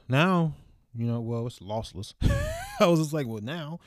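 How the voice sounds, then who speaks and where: A man's voice: a little high, wildly swooping pitch, slow pace, dry recording, studio quality. A man in his twenties talking to camera in a loose, reflective monologue full of fillers, recorded in a small room. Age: 20s. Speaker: vlogger. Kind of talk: casual rambling monologue.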